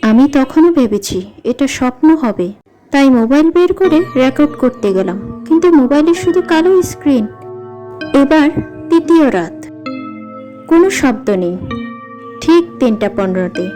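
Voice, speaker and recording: A woman tells a scripted horror story in a high voice with very lively intonation. Her delivery is dramatic and suspenseful, and music plays behind her. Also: moderate pace. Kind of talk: scripted first-person horror narration. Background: background music.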